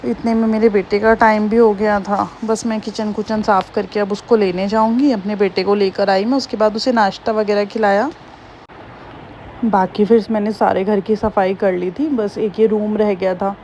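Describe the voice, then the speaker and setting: A woman in her thirties with a slightly hoarse voice, chatting homely and rambling through her daily vlog. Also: medium pitch, flat delivery, normal speed, darkish tone, a little hiss or hum. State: hoarse, slightly sore throat. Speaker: vlogger. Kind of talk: casual daily-life vlog narration.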